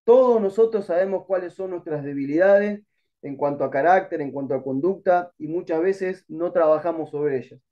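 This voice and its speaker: A man's voice: a little high, moderate pace, lo-fi sound. A middle-aged man with an Argentine accent teaching an online Bible class, earnest and exhorting, calling listeners brother. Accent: Argentine Spanish.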